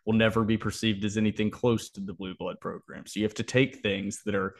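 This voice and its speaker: A man's voice: on the low side, level tone, fairly quick. A man co-hosting a sports podcast, talking through analysis and predictions in a casual, explanatory way.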